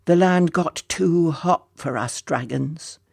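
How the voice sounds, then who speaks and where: Storybook dragon, acted out by a narrator: huge, sad and self-pitying, rumbling and gravelly, unhurried. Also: a little mellow, dry recording, clean studio sound. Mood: mournful, weary. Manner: rumbling, mournful, sighing. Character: huge sorrowful dragon, gruff, self-pitying, kindly at heart.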